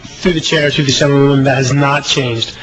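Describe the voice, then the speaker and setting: A man's voice: medium pitch, steady tone, fairly quick, a bit bright, faint music behind, low-quality recording. An American man answering formally, off the cuff, as a government official at a hearing. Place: legislative committee hearing room.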